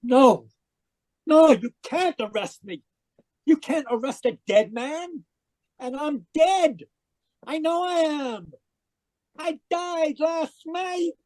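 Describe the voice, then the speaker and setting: A man's voice: very high-pitched, slow pace, pauses often, darkish tone, no echo. A man playing an anguished, deluded murderer in an old-time radio drama, pleading and melodramatic. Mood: disbelieving, desperate. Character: anguished, deluded male murderer convinced he is dead.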